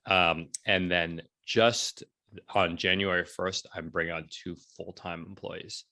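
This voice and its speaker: A man in his forties speaking casually as an interview guest, telling stories in an animated way and acting out bits of dialogue. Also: low-pitched, unhurried. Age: 40s. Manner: animated storytelling, acts out quoted dialogue, often checks in with "right?". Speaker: entrepreneur and blogger being interviewed. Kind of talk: casual conversational interview talk.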